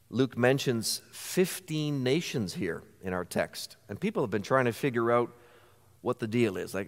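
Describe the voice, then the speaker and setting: A man preaching a sermon as pastor, earnest, posing rhetorical questions to the congregation. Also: medium pitch. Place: church sanctuary. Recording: church hall reverb.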